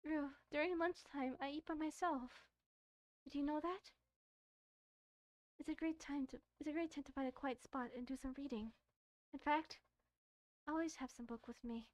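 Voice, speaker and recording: Woman voicing a shy, bookish high-voiced schoolgirl. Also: no echo, lightly acted. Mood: wistful, shy. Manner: hesitant, halting, earnest. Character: shy, bookish, self-doubting schoolgirl in visual novel.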